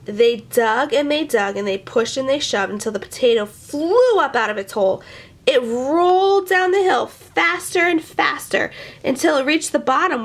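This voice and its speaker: A woman reading a children's picture book aloud, expressively, with animated asides to young listeners. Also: high voice. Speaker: storyteller.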